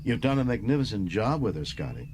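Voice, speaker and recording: A man's voice: fairly low pitch, very animated, fast talker, pitched above the performer's own voice. A man plays an ageing starship captain in a science-fiction audiobook. He is confident and commanding, with widely swinging intonation over faint background noise. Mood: surprised, warm.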